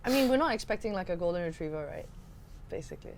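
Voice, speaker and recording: A woman's voice: on the low side, expressive, fast talker, darkish tone, slight background noise. A woman co-hosting a podcast, chatting casually with questioning, playfully skeptical interjections. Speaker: podcast co-host. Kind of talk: casual chat.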